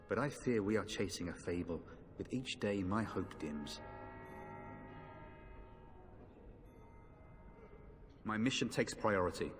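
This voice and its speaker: A man playing an earnest, dispirited knight in a fantasy game, speaking in a formal, measured, grave way. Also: fairly low pitch, fairly bright tone. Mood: weary, doubtful. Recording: long hall reverb, faint music behind.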